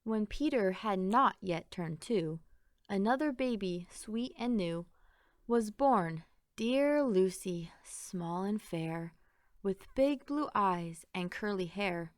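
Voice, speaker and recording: A woman reading a rhyming children's story aloud in an expressive, sing-song way. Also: mid-range voice, takes it slowly, no echo. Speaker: picture book reader.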